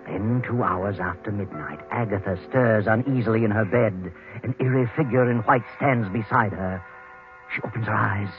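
A man acting as an ominous narrator of an old radio mystery. His low voice is deliberate and suspenseful over prominent music, in a tinny vintage recording. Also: natural voice. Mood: ominous, measured. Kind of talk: 1940s radio scripted narration.

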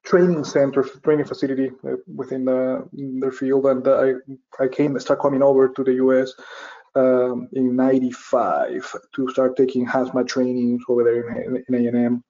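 A man's voice: mid-range voice, flat delivery, warm tone. Hesitant middle-aged man from Venezuela. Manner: halting, many fillers and restarts. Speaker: guest, safety professional. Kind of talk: interview answer. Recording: dull lo-fi audio.

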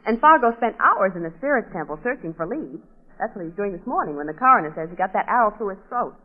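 An actress playing a sharp, inquisitive female sleuth, eager and brisk, in an old radio drama. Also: mid-range voice, animated, lightly acted. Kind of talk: 1940s radio drama acting. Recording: tinny, band-limited old radio recording, long reverb.

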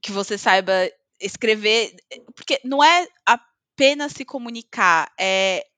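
Bright-voiced Brazilian woman. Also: mid-range voice, lively intonation, measured pace, clean tone, dry recording. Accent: Brazilian Portuguese. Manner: hesitant, many fillers. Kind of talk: casual chat. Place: living in Scotland.

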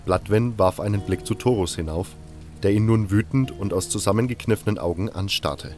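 A man narrating a first-person fantasy audiobook in a calm, even storytelling manner. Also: on the low side, a little fast, soft background music.

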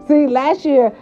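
A grandmother from California talking casually to her viewers, animated and chatty, with salty slang and lots of "right, right" fillers. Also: high voice. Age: older. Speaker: vlogger. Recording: dull lo-fi sound.

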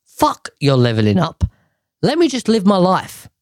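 A man's voice: high voice, huge pitch swings, normal speed, no echo, studio quality. A man ranting casually to camera, profane and exasperated, rambling with sighs and restarts.

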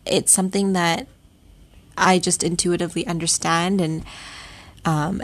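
A young woman from Canada hosting her own podcast, telling a travel story in a chatty, rambling way with small laughs. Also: fairly low pitch. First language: Tamil. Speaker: podcast host. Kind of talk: casual storytelling monologue.

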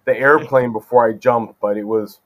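A middle-aged man from California, a judge as podcast guest, answering in a thoughtful, measured way with frequent fillers. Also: mid-range voice, fairly even intonation, fairly bright tone. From California, USA. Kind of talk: casual interview conversation.

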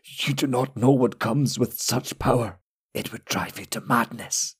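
A man voicing a frail old male wizard who is dying, speaking slowly with pain in his voice. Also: medium pitch, pitched above the performer's own voice. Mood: grave. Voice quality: frail, pained, gravelly. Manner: slow, solemn, pleading.

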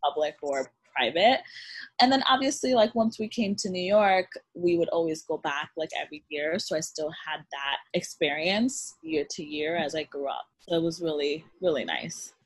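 Young woman, husky, lowish voice, relaxed, lots of fillers. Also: normal speed, hardly any pauses, poor audio. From Dominican Republic. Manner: relaxed, laughs often, many likes and ums. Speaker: podcast guest. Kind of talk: casual interview chat.

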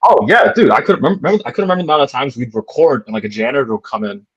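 Husky man rambling on a podcast. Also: mid-range voice, lively intonation, very fast, no echo, muffled recording. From Canada. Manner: rambling, heavy with fillers and repeats. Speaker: podcast co-host. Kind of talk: casual podcast chat.

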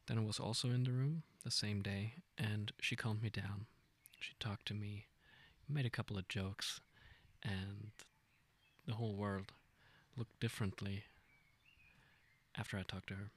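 A man with a low, husky voice full of vocal fry guides a meditation. He speaks softly and gently, without hurry, in an informal and intimate way. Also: fairly even intonation. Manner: gentle, unhurried, informal with self-corrections. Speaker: meditation guide, podcast host.

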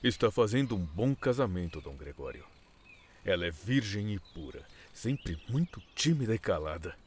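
Very deep-voiced, flattering Brazilian father. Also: normal speed, a little hiss or hum. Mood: ingratiating. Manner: flattering, formal old-fashioned speech. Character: obsequious middle-aged male landowner, calculating father of the bride. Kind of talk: radio soap opera acting.

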